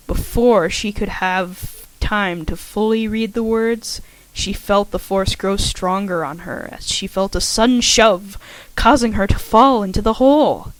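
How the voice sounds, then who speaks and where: A woman reads a fan story aloud in a plain, middle-pitched voice, a little shaky at times. She is recorded dry and close on a home microphone. The delivery stays even as she narrates. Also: no echo, poor audio. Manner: slightly shaky reading. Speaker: fanfiction reader. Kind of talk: amateur audiobook narration.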